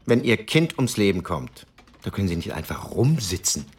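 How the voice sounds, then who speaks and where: A man's voice: low-pitched, very animated, moderate pace, slight background noise. A man acting as a grieving middle-aged father in a radio drama, formal and pointed, bitter and determined. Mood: subdued, defiant.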